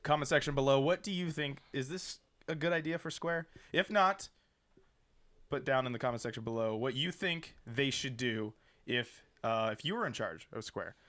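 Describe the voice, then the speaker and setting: A man's voice: medium pitch, moderate pace, fairly bright tone. A man hosting a casual show, moving between announcer-like flourishes and playful, teasing banter. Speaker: video game show host.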